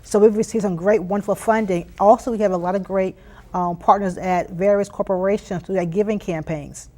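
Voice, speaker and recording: A woman's voice: medium pitch, steady tone, a little fast, dark tone. An American woman giving conversational interview answers as a program leader, earnest and emphatic, often repeating phrases for weight. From Philadelphia, United States. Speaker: interview guest, youth STEM program leader.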